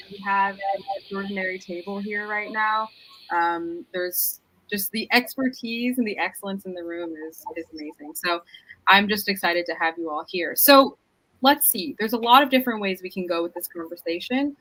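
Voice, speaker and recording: A woman's voice: medium pitch, moderate pace, fairly bright tone, low-quality recording. A woman moderating an online panel, talking in an upbeat, informal way with fillers. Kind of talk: hosting a webinar panel. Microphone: video call.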